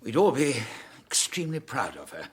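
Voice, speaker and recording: An actor playing an authoritative, strained head of a theatre company in a radio drama, with a husky voice. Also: male voice, mid-range voice, wildly swooping pitch, moderate pace, exaggerated voice. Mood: formal.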